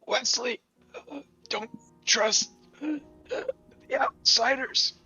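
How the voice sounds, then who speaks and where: A game master voicing a dying guard who forces out a faint, halting warning. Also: medium pitch, fairly even intonation, sharp tone, slightly rough, lo-fi sound, faint music behind, voice pushed up, heavily acted. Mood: weak, dying. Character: dying guard, weakly gasping a last warning.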